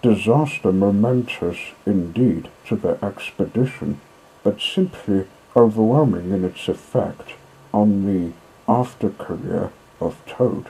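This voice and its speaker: A man narrating a classic children's story in a measured, dramatic way, with deliberate pauses and a husky voice. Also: fairly low pitch, warm tone, low-quality recording.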